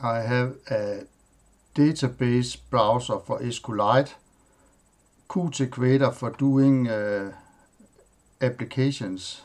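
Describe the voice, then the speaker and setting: Slow-spoken Danish man demoing software. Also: mid-range voice. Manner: halting, plain, listing items with pauses and fillers. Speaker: tech tutorial host. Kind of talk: screen-recorded software walkthrough.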